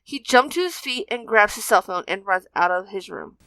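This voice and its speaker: A woman's voice: mid-range voice, animated, moderate pace, low-quality recording. A woman narrating a fan fiction story as a scripted reading.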